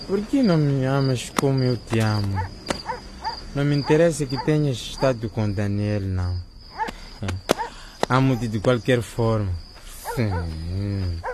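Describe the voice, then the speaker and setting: Actor as slow, drunken lovesick young man. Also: medium pitch, noisy background, lightly acted. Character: lovesick drunken schoolboy suitor, sentimental. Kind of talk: radio drama acting.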